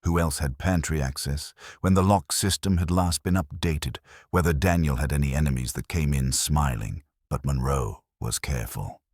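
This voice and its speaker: A man's voice: very deep voice, normal speed, few pauses, a little mellow, no room sound, clean studio sound. A man reading scripted first-person detective fiction with wry, clipped storytelling. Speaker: audiobook narrator in the part of a wry detective's assistant. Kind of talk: scripted first-person hardboiled mystery narration.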